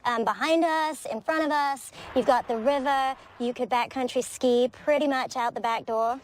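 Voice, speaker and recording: A woman from the UK, showing a house as a real estate agent in brisk, confident sales patter. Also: sits high, fairly even intonation. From United Kingdom. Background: background music.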